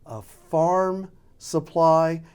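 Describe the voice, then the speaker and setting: Halting American man in an interview. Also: fairly high pitch, fairly even intonation, darkish tone, smooth. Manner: halting, thinking aloud. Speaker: interview guest, radio drama producer. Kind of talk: casual interview talk.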